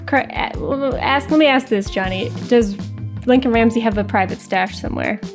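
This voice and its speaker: Playful, high-voiced woman host. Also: over music. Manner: playful, teasing, quick to laugh. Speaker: podcast host and player. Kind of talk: tabletop game play and podcast announcements.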